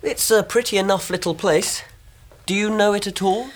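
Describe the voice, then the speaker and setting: An actor playing a practical, eager young English husband in a radio drama, with a husky voice and a brisk manner. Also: male voice, high-pitched, steady tone, close to an everyday voice. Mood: light, curious.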